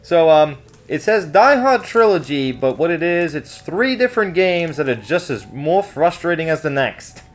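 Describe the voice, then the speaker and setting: A man's voice: a little high, very animated, moderate pace, few pauses, faint music behind. A man giving a casual, rambling game review in an exasperated, complaining way.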